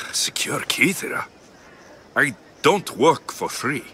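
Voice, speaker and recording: A man's voice: mid-range voice, very animated, slow pace, pushed and bright, slight background noise, full character voice. An actor in his thirties voicing a blunt mercenary warrior in a video game, curt and demanding. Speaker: game character.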